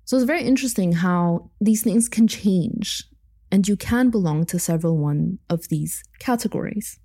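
A woman's voice: mid-range voice, moderate pace, mellow, slightly rough. A young woman hosting a solo podcast, talking reflectively with small laughs and asides. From Egypt. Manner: thoughtful, with light laughs and asides. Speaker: podcast host.

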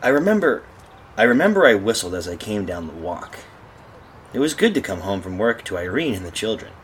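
A haunted husband in a radio horror play acted by a man, low and husky. His theatrical delivery swings widely as he tells of his doom in halting phrases. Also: big pitch swings, slight background noise. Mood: anguished, brooding. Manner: theatrical, brooding, halting monologue. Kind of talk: old radio horror drama acting.

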